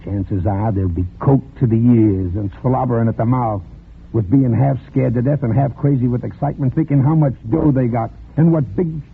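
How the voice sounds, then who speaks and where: An actor playing a talkative, wry gangster and former preacher in a folksy, confiding way, in a 1940s radio crime drama. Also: male voice, mid-range voice, gravelly, breathy edge. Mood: uneasy. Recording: scratchy old radio broadcast, muffled narrow band.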